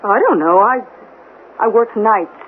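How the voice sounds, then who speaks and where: An actress playing a feisty, defensive middle-aged mother with a sharp-tongued, suspicious manner in a 1970s radio drama. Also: mid-range voice, a little slow, natural voice. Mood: defensive, uneasy. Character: feisty, defensive mother who cleans offices at night. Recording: tinny, noisy old radio broadcast.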